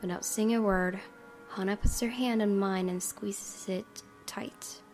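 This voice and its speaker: A woman game streamer reading a visual novel aloud as expressive narration. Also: medium pitch, measured pace, soft background music.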